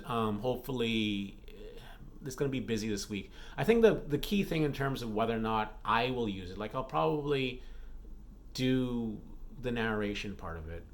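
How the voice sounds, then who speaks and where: A man's voice: medium pitch, a bit bright. Rambling man in casual vlog chat. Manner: rambling, thinking aloud, repeats and self-corrects. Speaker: romance author and vlogger. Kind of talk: casual unscripted vlog talk.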